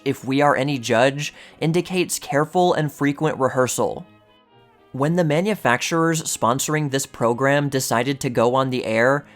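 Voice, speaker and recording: A man giving a measured, scripted narration, reading an article aloud and enunciating each item. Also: a little high. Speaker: channel narrator reading a vintage magazine article. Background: music.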